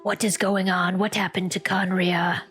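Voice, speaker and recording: A woman's voice: fairly low pitch, little pitch movement, moderate pace. A woman giving casual reaction commentary as she plays a game, hesitantly thinking aloud. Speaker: streamer reacting to a game playthrough. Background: soft game music.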